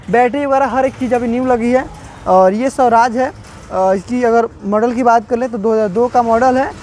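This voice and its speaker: A young man vlogging from an outdoor tractor market, walking with a phone camera and pitching used tractors with a brisk salesman's patter. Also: darkish tone, a little hiss or hum. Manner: brisk salesman patter, repeats prices and calls viewers brother. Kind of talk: walking tour sales vlog.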